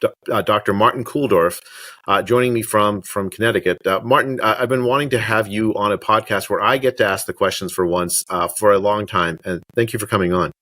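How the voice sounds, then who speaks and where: Halting male podcast host on a video call. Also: lowish voice, fairly even intonation, bright, forceful tone, dry recording. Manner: halting, many restarts and fillers. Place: home study with bookshelves. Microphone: webcam on video call.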